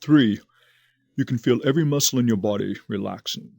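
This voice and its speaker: An actor playing a calm, reassuring hypnotist doctor in an audio drama, with a measured, soothing, commanding delivery. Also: male voice, medium pitch, vocal fry, dry recording, clean studio sound.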